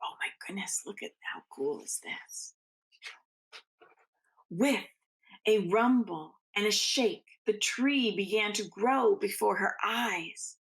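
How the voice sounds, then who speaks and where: Lady teacher reading kids a story, slow n kinda croaky, hushed little asides. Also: mid-range voice, rough recording. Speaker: teacher reading a picture book aloud to children. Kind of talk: expressive read-aloud storytelling with casual asides.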